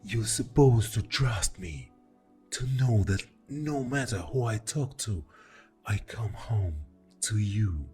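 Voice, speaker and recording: A man playing an exasperated, defensive boyfriend in an ASMR audio roleplay, speaking in a deep, soft voice with heavy sighs. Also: lively intonation, soft background music. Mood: pleading, earnest. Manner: slow, pausing, with heavy sighs. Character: exasperated, defensive boyfriend arguing with a jealous partner.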